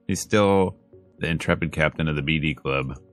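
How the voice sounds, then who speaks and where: A man's voice: a bass voice, a little slow. A man reading a visual novel aloud as a streamer, dramatic in delivery with wry, joking asides, over game music. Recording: music behind the voice.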